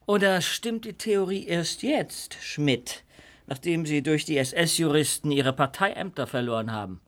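An actor playing a sharp-tongued, sarcastic gentleman in a debate. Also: on the high side, lively intonation, measured pace. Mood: mocking. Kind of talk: radio play acting.

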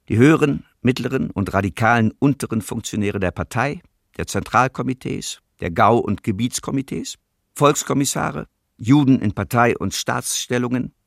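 An actor playing a Nazi German officer in a documentary radio play, reading in a cold, formal, bureaucratic way. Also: male voice, fairly low pitch, creaky, no echo, clean studio sound.

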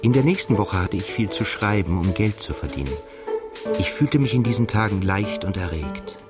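An actor as an introspective émigré writer telling his own story in a radio drama. His low voice is measured and reflective, with music clearly present behind it. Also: animated, close to an everyday voice. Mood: reflective, stirred. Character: introspective male émigré writer recounting his love affair. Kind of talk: literary first-person narration in a radio drama. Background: prominent music.

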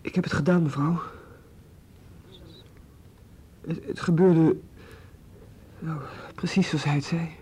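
Husky, stammering guilt-ridden teenage boy. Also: a little high, fairly even intonation, warm tone. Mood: anguished, hesitant. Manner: halting, stammering, deferential. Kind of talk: radio drama acting.

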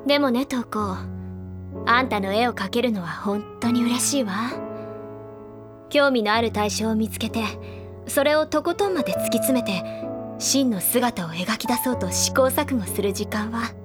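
A woman's voice: a little high, moderate pace, over music, lightly acted. An actress playing a confident, teasing schoolgirl painter in a Japanese audio drama, self-assured and playfully theatrical. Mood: composed, earnest.

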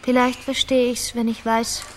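An actress playing a determined, guarded politician's daughter in a radio crime drama, direct and reserved. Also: female voice, fairly high pitch, flat delivery, normal speed, a little hiss or hum, close to an everyday voice, rough recording.

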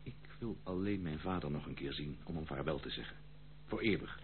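A man's voice: rumbling low, a little fast. An actor in a radio play playing a disowned prodigal son, formal, insistent and theatrical, pleading his case with indignation. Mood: pleading, indignant. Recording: tinny, phone-like old radio play, slight hum.

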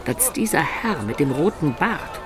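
Roguish, reflective storyteller. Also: male voice, a little high, very animated, a little slow, music in the background. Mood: wry storytelling. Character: roguish storyteller of peasant birth recalling his life. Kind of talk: radio drama narration.

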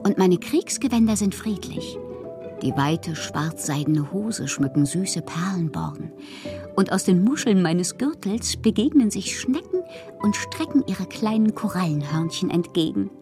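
An actress playing a passionate, eccentric bohemian poetess, reading fanciful letters in a theatrical radio drama monologue with a breathy voice. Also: female voice, lowish voice, very animated, a little slow, music in the background. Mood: dreamy delight. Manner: theatrical, dreamy, often slipping into breathy whispers. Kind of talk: dramatic literary monologue in a German radio play.

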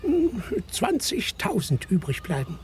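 An actor playing a dignified elderly notary in a radio play, formal, pompous and officious. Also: male voice, high-pitched, huge pitch swings, a little slow, slight background noise, heavily acted. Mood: formal, businesslike. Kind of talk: German radio play acting.

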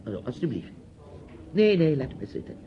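A man's voice: fairly high pitch, sing-song, moderate pace. An actor playing a chatty, nosy, bossy older man in a radio drama, with a theatrical, rambling delivery. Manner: theatrical, rambling, commanding, with hesitations. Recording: dull, hissy old radio play recording.

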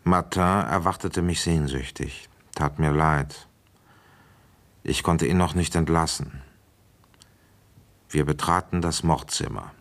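Male police inspector for radio drama: very low pitch, reflective, methodical, measured delivery. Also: heavily acted. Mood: matter-of-fact. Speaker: radio drama actor, narrator and lead. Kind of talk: German radio play narration and dialogue.

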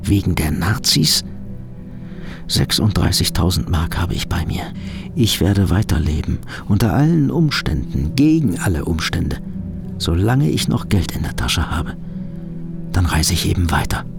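Casting: weary, defiant fugitive thinking aloud, radio play. Low creaky voice, very expressive, music behind. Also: male voice, measured pace, full character voice. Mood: agitated, defiant. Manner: brooding, intense self-questioning. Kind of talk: radio drama inner monologue.

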